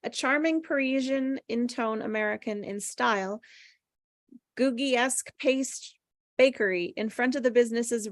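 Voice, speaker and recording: A woman's voice: fairly high pitch, dry recording, studio quality. A woman reading screenplay stage directions aloud as scripted narration at a table read.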